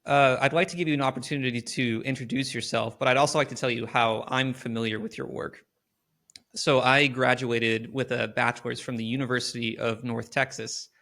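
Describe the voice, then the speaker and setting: A man's voice: medium pitch, fairly even intonation, brisk, creaky, fairly bright tone. A young man hosts a podcast interview in an ordinary mid-range voice. He asks long, earnest questions and sometimes stops to pick his words with a filler. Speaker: podcast host.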